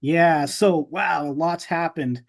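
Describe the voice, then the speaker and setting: A man hosts a podcast in a somewhat high-pitched voice. He speaks informally, in a loose, conversational interview style, addressing his listeners and his guest. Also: slow, creaky. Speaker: podcast host. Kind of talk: casual podcast interview chat.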